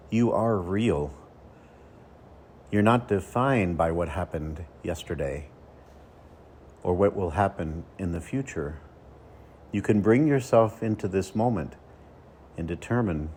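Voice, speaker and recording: A man leading a guided meditation as a coach, speaking slowly and soothingly with deliberate pauses between phrases. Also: sits low, lively intonation, warm tone, a little hiss or hum.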